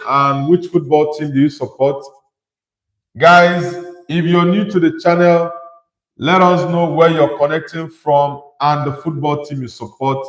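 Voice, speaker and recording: A man's voice: fairly high pitch, roomy sound, rough recording. A young man from Nigeria hosting a casual football livestream, reading viewer comments aloud and answering with his own opinions. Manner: conversational, reads out chat messages and replies with opinions.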